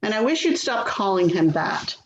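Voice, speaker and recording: A woman's voice: mid-range voice, sing-song, moderate pace. A woman playing an exasperated, worrying adult daughter in a readers theater play, nagging and put-upon, recorded in an echoey room. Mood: weary, defensive. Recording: echoey room, dull sound, faint noise.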